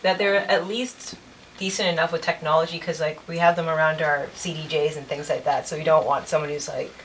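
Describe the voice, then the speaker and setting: Female guest, 20s, low register, casual conversation, slight room noise. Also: fairly even intonation, a little fast, far from the mic, rough recording. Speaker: college radio programming director, guest. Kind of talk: casual interview chat. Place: furnished indoor music room studio. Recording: faint background noise.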